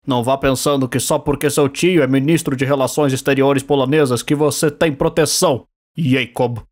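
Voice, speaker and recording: A man's voice: mid-range voice, fairly even intonation, moderate pace, natural voice. A Brazilian man voicing nervous, scheming office workers in a cartoon fan dub, with a whiny, wheedling delivery. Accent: Brazilian Portuguese.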